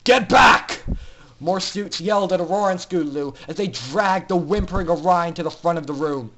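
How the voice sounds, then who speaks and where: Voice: medium pitch, a little fast. A narrator with an androgynous-sounding voice giving an intense, theatrical dramatic reading of a story. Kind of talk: dramatic reading of fan fiction.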